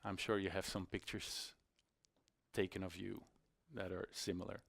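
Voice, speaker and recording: Low-voiced man giving a talk. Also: little pitch movement, normal speed, creaky, a bit bright. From Belgium. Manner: self-deprecating, conversational, hesitant phrasing. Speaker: conference speaker, iOS developer. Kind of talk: informal conference presentation. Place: conference stage in a hall.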